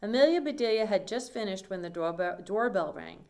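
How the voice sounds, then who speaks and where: A woman's voice: medium pitch, lively intonation, fairly quick, a little mellow, a little hiss or hum. Woman reading a children's story aloud. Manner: simple explanatory phrasing, addressing young listeners. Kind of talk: read-aloud storytime with explanatory asides.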